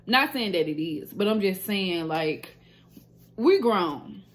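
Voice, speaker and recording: A woman's voice: lowish voice, animated, slow, poor audio. Woman in her late twenties, candid, slangy, chatty vlog to a phone, small room. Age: 20s. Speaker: nail business owner and vlogger. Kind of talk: casual vlog chat to camera.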